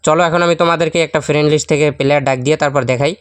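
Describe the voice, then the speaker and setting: A man's voice: a little high, steady tone, very fast, smooth, no echo. A man narrating a step-by-step tutorial, addressing his viewers directly as host. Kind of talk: instructional screen-recording voice-over.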